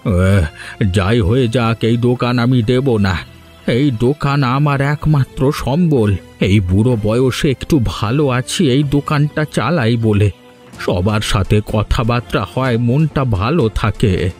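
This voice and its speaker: A man's voice: medium pitch, takes it slowly, a little mellow, faint music behind, lightly acted. A man playing a humble, stubborn old village tea-shop keeper in a cartoon, plain-spoken and earnest. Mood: defiant. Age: older. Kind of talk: Bengali cartoon voice acting.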